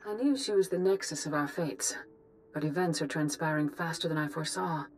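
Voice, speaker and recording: A woman's voice: low-pitched, brisk, lightly acted. A grave, composed woman leading an order of Jedi played by an actress. It is game cutscene acting in a reverberant hall, with faint ambient noise. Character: composed, grave female Jedi grand master.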